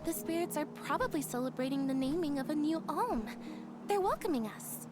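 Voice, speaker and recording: An actress voicing a bright, cheerful young companion character in a video game. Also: female voice, high voice, expressive, fairly quick. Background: loud game music.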